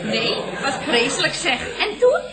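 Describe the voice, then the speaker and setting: Very high, swooping, inquisitive lady. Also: big pitch swings, measured pace, roomy sound, over a noisy crowd. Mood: astonished. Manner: short, exclaiming questions. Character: curious, easily astonished woman prompting the storyteller. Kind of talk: 1960s-70s Dutch radio comedy acting. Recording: noisy old radio recording.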